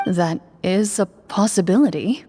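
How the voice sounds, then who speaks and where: A woman playing a composed, literal-minded young swordswoman in a video game, formal, matter-of-fact and deadpan. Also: medium pitch, unhurried, noisy background, lightly acted.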